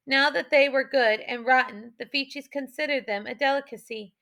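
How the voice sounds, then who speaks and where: Lady reading an adventure audiobook, high bright voice w some creak, storytelling narration. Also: fairly even intonation, moderate pace.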